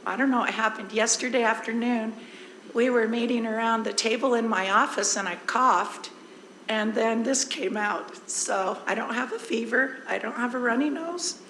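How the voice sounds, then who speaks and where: Hoarse woman, district presenter, easygoing and explanatory, in a roomy hall with faint noise. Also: fairly high pitch, normal speed, a bit bright. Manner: conversational, explains with everyday examples. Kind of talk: informal presentation at a board meeting. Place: board meeting room.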